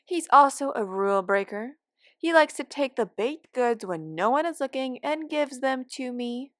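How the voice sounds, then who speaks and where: A woman's voice: high voice, lively intonation, normal speed, a bit bright, dry recording, studio quality, pitched above the performer's own voice. A woman voicing a cranky, forgetful elderly care home resident in a wry, rambling, complaining monologue from a short story. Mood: fond, conspiratorial. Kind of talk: first-person dramatic monologue of short fiction.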